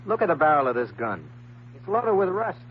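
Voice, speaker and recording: A man's voice: fairly high pitch, big pitch swings, a little fast, slight background noise. An American man playing a wisecracking, cynical private eye in an old-time radio drama, with a slangy, sardonic delivery. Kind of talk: old-time radio detective acting and narration. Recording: dull vintage radio recording with music.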